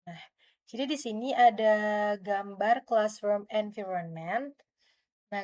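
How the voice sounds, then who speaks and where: A young woman with an Indonesian accent gives an informal tutorial, explaining step by step in a halting way. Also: mid-range voice, dark tone. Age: young adult. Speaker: student presenter.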